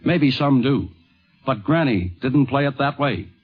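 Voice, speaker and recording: A man's voice: mid-range voice, expressive, measured pace, breathy edge, faint music behind. A man narrating a scripted radio documentary in a 1950s broadcast style. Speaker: narrator and host. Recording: dull vintage 1950s radio recording.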